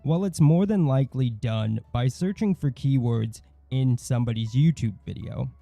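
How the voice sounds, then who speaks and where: A man with a very dark, mellow voice delivers scripted online commentary. His delivery is snide and mocking, and the sound is dry and close. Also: medium pitch, moderate pace, gravelly, no echo, soft background music. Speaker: YouTube channel host.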